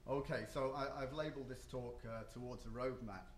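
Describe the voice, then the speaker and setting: A man talks at a conference panel in a hotel meeting room, pausing often with ums and restarting his sentences. His voice sits at a middle pitch and carries the echo of a roomy hall, with faint ambience behind it. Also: fairly even intonation, slight background noise. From not Japan or the US. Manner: hesitant, many ums and restarts.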